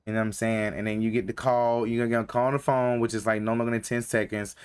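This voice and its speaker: A man's voice: fairly low pitch, flat delivery, fast, clean tone. A young man from North Carolina chatting casually on a game live stream, rambling with lots of slang and fillers.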